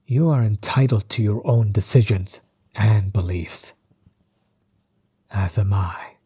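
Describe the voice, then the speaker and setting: A man playing a menacing, bitter sorcerer overlord in an audio roleplay, breathy and husky, measured and condescending, often sinking toward a whisper. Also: fairly low pitch, mellow, exaggerated voice. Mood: threatening, resolute. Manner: measured, condescending, coldly taunting. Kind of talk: scripted villain monologue, audio roleplay.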